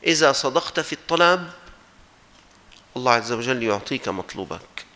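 A man's voice: medium pitch, animated, moderate pace, pushed and bright, a little hiss or hum. A man with a Syrian accent giving a religious sermon, exhorting his listeners emphatically and mixing formal with colloquial speech. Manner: emphatic, exhorting, with rhetorical repetition. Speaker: preacher.

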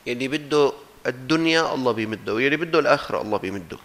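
A man's voice: mid-range voice, lively intonation, very fast, a bit bright. A man with a Syrian accent giving a religious sermon, exhorting his listeners emphatically and mixing formal with colloquial speech. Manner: emphatic, exhorting, with rhetorical repetition. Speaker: preacher.

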